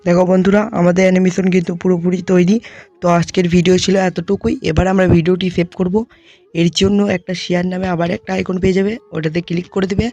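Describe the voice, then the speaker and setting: A tutorial host narrating software steps one by one, speaking informally to viewers as friends. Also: mid-range voice, level tone, fast talker, dry recording, highs cut off.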